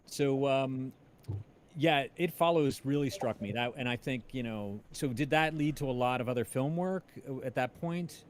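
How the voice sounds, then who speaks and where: A man hosting a casual interview, enthusiastic but halting as he circles toward his point. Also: mid-range voice. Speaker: podcast host and interviewer. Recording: clearly noisy ambient background.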